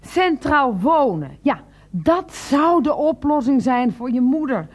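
An actress playing a sharp-tongued, exasperated housemate in a radio play, sarcastic and theatrical, repeating words for emphasis. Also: female voice, high voice, very animated, takes it slowly, exaggerated voice.

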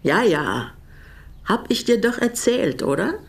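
An actress in a naturalistic radio drama playing an older East German widow who was once a prisoner, speaking deliberately and pointedly. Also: female voice, mid-range voice, very animated, creaky, slightly rough, exaggerated voice. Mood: friendly, brisk.

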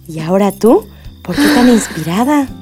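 A woman's voice: medium pitch, lively intonation, normal speed, a little mellow, soft background music, close to an everyday voice. A middle-aged Mexican woman acting a caring, perceptive and wary mother in a radio soap opera. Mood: tender, knowing.

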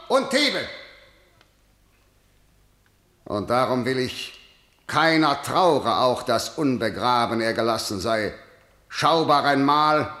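A man's voice: fairly high pitch, bright, forceful tone, full character voice. A man playing a stern, imperious ruler in a German verse radio drama, declaiming harsh law with commanding authority. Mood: vehement contempt. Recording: echoey hall with long reverb.

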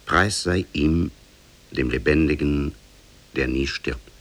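An actor as a solemn fairy-tale storyteller, narrating with measured reverence in a 1950s German radio drama. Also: male voice, extremely low pitch, fairly bright tone, heavily acted. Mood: solemn, reverent. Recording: old 1950s radio play on cassette.

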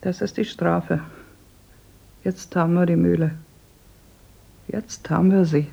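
An older actress playing a bitter, reproachful miller's wife worn out by decades of toil. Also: female voice, on the low side, steady tone, a little slow, vocal fry, warm tone, husky, lo-fi sound, exaggerated voice.

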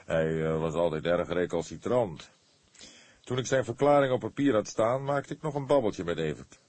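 A man voicing a patient, seasoned senior police detective in an audiobook, speaking in a measured, earnest way. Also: low-pitched, expressive, a little mellow, no echo, pitched above the performer's own voice. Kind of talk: audiobook character dialogue.

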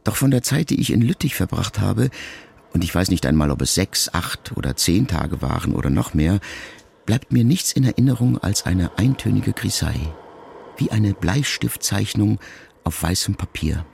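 An actor playing a wounded, reflective ageing son in an intimate radio drama monologue, speaking in a measured, gravelly voice. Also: male voice, fairly low pitch, sing-song, a little mellow, a little hiss or hum, exaggerated voice. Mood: pensive. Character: grieving ageing son addressing his dying mother, wounded, reflective.

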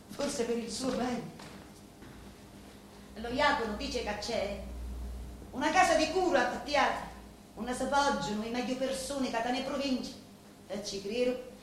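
An actress playing a grave Sicilian woman confiding in a mafia boss, in a slow, deliberate theatrical monologue with a Sicilian accent. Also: on the high side, close to an everyday voice. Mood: resigned. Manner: slow, deliberate, brooding storytelling. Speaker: stage actress in a radio drama. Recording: roomy echo, noisy background.

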